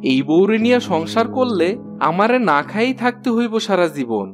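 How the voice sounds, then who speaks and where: Man playing a village farmer, very animated delivery. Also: high voice, a little fast, over music. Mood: annoyed. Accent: rural eastern Bengali. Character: demanding village farmer, grown son and husband. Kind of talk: cartoon character acting.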